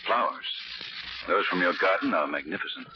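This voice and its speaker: An actor playing a suave, perceptive gentleman sleuth in a 1940s radio drama, polite, measured and knowing. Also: deep voice, expressive. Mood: courteous. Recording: dull, noisy vintage radio recording.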